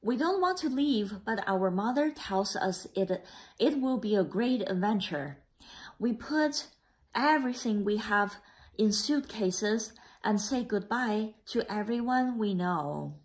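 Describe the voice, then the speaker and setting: A woman reading a children's picture book aloud in a careful, slightly halting way. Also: medium pitch, animated. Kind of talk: read-aloud storytelling.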